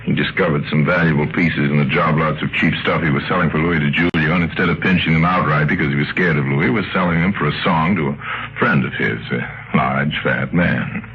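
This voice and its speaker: Deep-voiced worldly adventurer, vintage radio. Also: male voice, fairly quick, a little hiss or hum. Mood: wry, reflective. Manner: wry storytelling. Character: Harry Lime, worldly, wry male adventurer telling his tale. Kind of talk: 1950s radio drama, first-person narration. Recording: muffled vintage radio recording, music behind.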